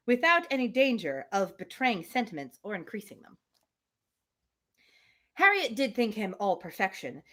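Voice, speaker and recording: A woman's voice: a little high, fairly bright tone. A woman reading a classic novel aloud on a livestream, slipping into chatty asides to her listeners.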